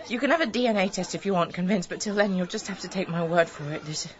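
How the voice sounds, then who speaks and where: A woman's voice: on the low side, quick pace, dull audio, lightly acted. An actress with a British accent playing an exasperated, wounded wife and new mother in a radio comedy drama, blunt and bitter. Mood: wounded, firm. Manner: sarcastic, blunt.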